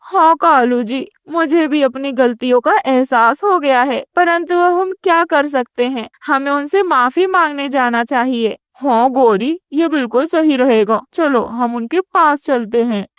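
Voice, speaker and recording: A woman's voice: high voice, unhurried, few pauses, no room sound, clean studio sound. A woman voicing a remorseful, earnest crow who speaks apologetically in a cartoon story. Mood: regretful. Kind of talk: Hindi cartoon story dubbing.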